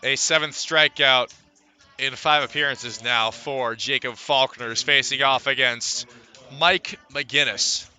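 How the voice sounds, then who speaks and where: A man's voice: medium pitch, steady tone, slow pace, bright, forceful tone, clean tone, faint music behind. A man calling live baseball play-by-play for radio. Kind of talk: live radio sports commentary.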